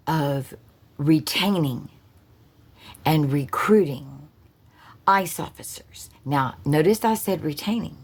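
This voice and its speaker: A woman who hosts her own channel, giving a blunt, sarcastic political monologue full of profanity and restarts. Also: lowish voice. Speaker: political commentary host. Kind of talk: casual opinion monologue.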